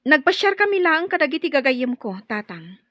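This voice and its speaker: Secretive young daughter, slightly muffled. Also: female voice, sits high, sing-song, a little fast, bright, forceful tone, exaggerated voice. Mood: evasive, meek. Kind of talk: Ilocano radio drama acting. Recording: slightly muffled radio drama sound.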